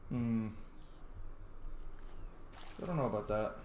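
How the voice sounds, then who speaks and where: A man's voice: fairly low pitch, slow pace, darkish tone. A man giving casual, sweary, opinionated commentary while playing through a roleplaying game. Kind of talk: casual reactive playthrough commentary. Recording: roomy sound, faint game noise behind.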